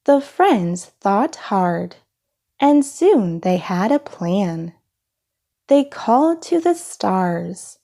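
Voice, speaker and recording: A woman reading a children's story aloud in an expressive, unhurried storytelling manner. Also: medium pitch, creaky. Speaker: storybook reader.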